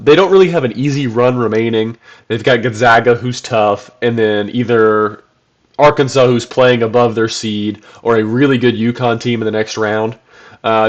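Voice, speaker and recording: Congested man talking hoops, lo-fi. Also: mid-range voice. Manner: loose, rambling, lots of ums and restarts. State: congested from a cold. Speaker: basketball channel host. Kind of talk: casual solo commentary video.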